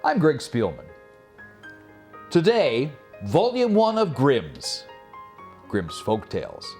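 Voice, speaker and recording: A man hosting and narrating folk tales from a script in an expressive, formal manner. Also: mid-range voice. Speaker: storyteller, host.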